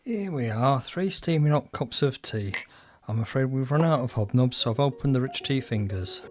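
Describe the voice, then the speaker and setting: A man's voice: mid-range voice, expressive, moderate pace, background noise, close to an everyday voice. A man playing a meek, henpecked shop supervisor in an audio drama, polite and anxious, with a mild, hesitant manner.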